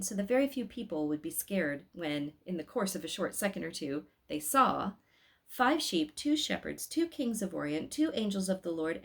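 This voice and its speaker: A woman storyteller reading a storybook aloud expressively, with emphatic phrasing. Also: on the low side.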